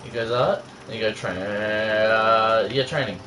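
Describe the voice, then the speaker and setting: A man co-hosting a casual read-aloud of fan fiction, halting and exasperated, swearing as he goes. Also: fairly low pitch, a crowd in the background. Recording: noisy room background.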